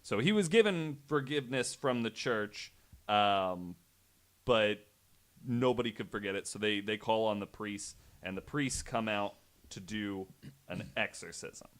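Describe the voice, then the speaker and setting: A man's voice: on the low side, a little slow. A man hosting a podcast, telling a true story in a conversational way with wry, incredulous asides. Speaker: podcast host.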